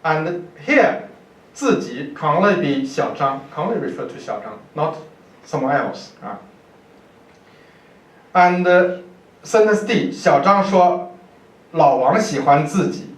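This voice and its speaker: A man with a Chinese accent giving an academic lecture from notes in a halting, deliberate manner. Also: fairly high pitch, lively intonation. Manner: halting, deliberate, with repeated words. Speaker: university professor giving a lecture. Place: indoor university lecture room, speaker at a podium. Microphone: far camera microphone. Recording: roomy, distant lecture hall pickup.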